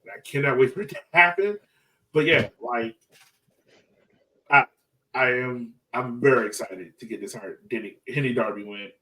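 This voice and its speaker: A man co-hosting a soccer fan podcast, sounding a little away from the microphone. He talks in an animated, slangy, exclamatory way at a middling pitch. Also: normal speed, long pauses, far from the mic, low-quality recording. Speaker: sports podcast host. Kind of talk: casual fan chat about soccer.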